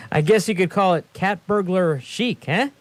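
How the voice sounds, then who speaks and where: Man acting a high-voiced, fussy police subordinate. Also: measured pace. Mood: amused. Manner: hesitant, polite, pedantic. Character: eager, fussy, deferential male police subordinate, Francois. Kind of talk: radio theatre acting.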